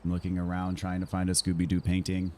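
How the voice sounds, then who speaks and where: An American man with a fairly low voice, slightly raspy from a scratchy throat. He talks as a game streamer in a laid-back, friendly way, casually answering his viewers as he plays. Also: completely flat delivery, fast, darkish tone. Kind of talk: casual livestream chat answering viewers while gaming.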